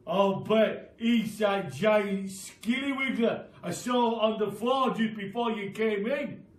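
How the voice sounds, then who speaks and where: A man voicing a mean, mischievous storybook husband with a loud, emphatic, alarmist delivery. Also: sits high, slow, voice pushed up. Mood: mock alarm. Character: mean, mischievous husband scaring his wife. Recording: roomy, distant mic with noise.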